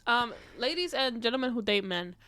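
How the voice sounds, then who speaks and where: A woman's voice: fairly high pitch, lively intonation, moderate pace, bright, forceful tone, no room sound. A woman co-hosting a casual comedy podcast, talking bluntly in heavy slang with plenty of swearing and playful exaggeration. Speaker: podcast co-host.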